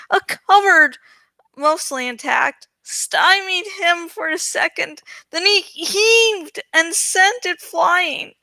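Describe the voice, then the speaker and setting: Amateur female storybook narrator, very high voice, unhurried delivery, dramatic but self-mocking. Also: pushed and bright, no echo. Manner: self-deprecating, stumbles and jokes about slips. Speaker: amateur audiobook reader.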